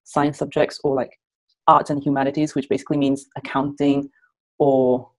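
Woman, from Malaysia, thirties, low voice, giggly, chatty, earphone mic, small home room. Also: little pitch movement, normal speed, low-quality recording. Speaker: guest content creator. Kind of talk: casual video call interview. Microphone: earphone mic on a video call.

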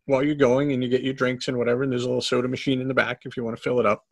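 A man's voice: medium pitch, flat delivery, rapid-fire, no echo. A man co-hosting a travel podcast over a video call, joking and spinning out ideas. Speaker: podcast co-host. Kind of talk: casual chat. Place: home room, video call. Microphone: headphones and desk mic.

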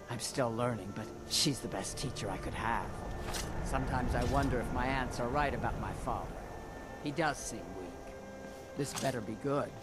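An actor playing a young, doubtful orc in a fantasy game, blunt and plain-spoken. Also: male voice, medium pitch, natural voice. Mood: impatient. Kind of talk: fantasy video game dialogue.

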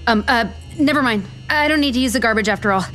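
An actress playing an overwhelmed, sarcastic, foul-mouthed author in an audio romantic comedy. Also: female voice, fairly high pitch, fast, over music, lightly acted. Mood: flustered. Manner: sarcastic, exasperated.

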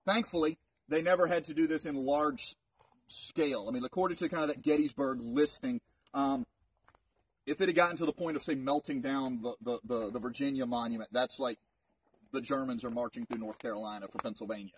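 A man's voice: medium pitch, brisk, slightly rough, no room sound. A man in his thirties, a park ranger, gives an informal history talk to a group outdoors, conversational and full of rhetorical questions, picked up by a camera a few metres away. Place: outdoors in open woods. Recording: distant outdoor mic.

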